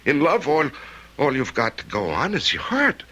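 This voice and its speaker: An actor playing a smitten, hesitant grown son, earnest and halting, in an old-time radio drama. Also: male voice, a little high, wildly swooping pitch, sharp tone, lo-fi sound, exaggerated voice. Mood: lovestruck. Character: smitten, sheepish grown son, newly married.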